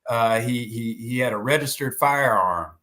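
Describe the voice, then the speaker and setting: A man speaking as a professor guest in conversational commentary, deliberately repeating words as he builds each point. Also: medium pitch, sharp tone.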